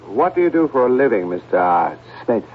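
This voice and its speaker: Male actor as skeptical psychiatrist, pointed questioning, lively intonation, radio drama, muffled vintage broadcast. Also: medium pitch, slight background noise, close to an everyday voice. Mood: probing. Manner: pointed, measured, interrogating.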